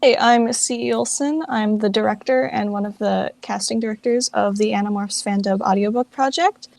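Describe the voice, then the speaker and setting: A woman speaks as a guest in a casual interview, in an informal way full of "like". Her pitch is average for a woman and the sound is dry, without room echo. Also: normal speed, creaky, darkish tone. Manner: informal, filler-heavy with frequent "like". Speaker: guest, fan project director.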